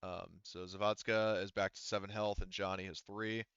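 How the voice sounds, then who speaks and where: A man's voice: sits low, little pitch movement, normal speed, fairly bright tone, clear, resonant tone, no room sound, highs cut off. A man speaking casually as a game master in a tabletop roleplaying game, thinking aloud and swearing now and then.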